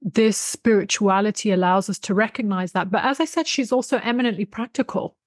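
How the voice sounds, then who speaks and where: A woman's voice: medium pitch, fairly quick, dry recording, clean studio sound. A woman in her thirties explaining her subject emphatically and conversationally as an interview guest. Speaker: interview guest, author and expert on folk spirituality. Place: small indoor room with bookshelves, video call. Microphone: desktop USB condenser microphone, close.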